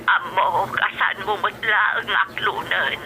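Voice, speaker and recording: A woman's voice: mid-range voice, steady tone, a little slow, sharp tone, low-quality recording. A woman playing an older grandmother, emotional and pleading, in an Ilocano-accented radio drama. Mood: defensive, pleading. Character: older grandmother, defensive, pleading with her daughter.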